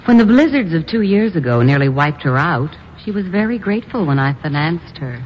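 A woman's voice: sits low, very animated, fairly quick. Cool, ruthless lady smuggling boss. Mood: smug. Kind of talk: 1950s radio drama acting. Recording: dull, noisy vintage radio recording.

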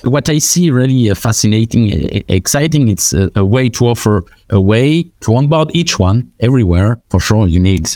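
A man runs a panel discussion, asking questions and sharing views in a low, mellow voice. His delivery is halting, full of "uh" pauses and restarts. The sound is dry and close. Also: animated, no room sound. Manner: hesitant, frequent "uh" fillers. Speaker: panel moderator. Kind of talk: moderating a panel.

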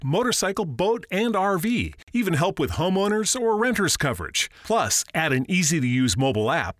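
Male commercial announcer, higher register and very varied melody, scripted read, close dry sound. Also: fairly bright tone, no room sound. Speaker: advert announcer. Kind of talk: scripted insurance ad narration.

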